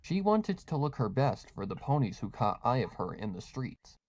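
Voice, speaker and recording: A man's voice: medium pitch, big pitch swings, moderate pace, a little mellow, dry recording. A man reading a scripted horror fan fiction story as its narrator.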